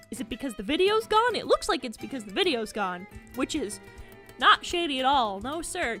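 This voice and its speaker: A woman's voice: a little high, fairly bright tone. A woman delivering blunt, sweary, sarcastic commentary as the host of a rant video.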